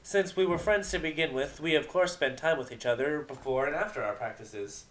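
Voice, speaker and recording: An excitable young cartoon pony mare, acted out by a man, pitched up high and bursting out loudly. She can turn sheepish, as in a homemade storybook reading. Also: brisk, lightly acted, low-quality recording. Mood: friendly. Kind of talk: amateur dramatic fan fiction reading.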